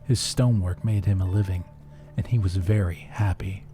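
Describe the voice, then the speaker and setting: A man narrating a rhyming folk tale as a storyteller, theatrical and expressive. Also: low-pitched, moderate pace, soft background music.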